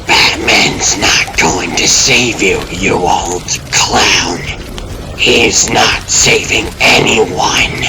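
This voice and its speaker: Scornful young villainess, breathy and gravelly, low pitch, drawn-out taunts, comic-dub drama. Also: male voice. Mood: menacing. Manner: sneering, contemptuous. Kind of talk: fan comic dub acting. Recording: clear noise behind voice.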